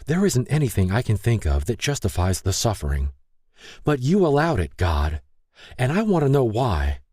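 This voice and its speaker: A distraught young woman pours out anguished, pleading questions in prayer, as a male narrator raises his voice to play her. Her lifted voice keeps a husky, dark edge and rises and falls widely. The recording is close and dry, like a storybook reading. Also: medium pitch, huge pitch swings, normal speed, no echo, voice pushed up. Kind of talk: audiobook character reading.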